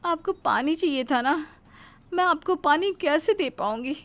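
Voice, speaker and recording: Woman acting a high-pitched, whiny lazy young daughter-in-law. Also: animated, unhurried, fairly bright tone, heavily acted. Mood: wheedling excuses. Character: lazy, sly young daughter-in-law full of whining excuses. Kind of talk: animated moral storybook acting.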